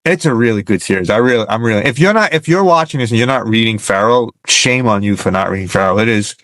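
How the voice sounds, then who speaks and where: A man's voice: lowish voice, fast, a bit bright, no echo. A man hosting a casual interview as an enthusiastic, gushing fan. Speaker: interviewer, comic book fan host.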